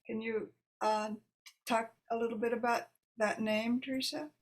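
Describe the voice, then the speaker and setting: A woman's voice: a little high, steady tone, normal speed. A woman hosting an online poetry reading in a casual, conversational way. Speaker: host introducing poets. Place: video call. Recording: noisy video call audio with clicks.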